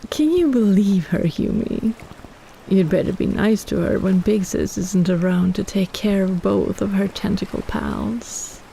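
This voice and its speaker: A woman's voice: mid-range voice, a little slow, creaky, warm tone, slight background noise, rough recording. A woman playing a possessive, teasing kraken in an intimate roleplay audio drama, coaxing and condescending as she schemes. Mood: satisfied, doting.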